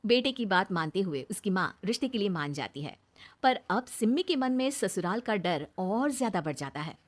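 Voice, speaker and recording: A woman's voice: mid-range voice, expressive, moderate pace. A woman reading scripted narration for a moral story as its storyteller.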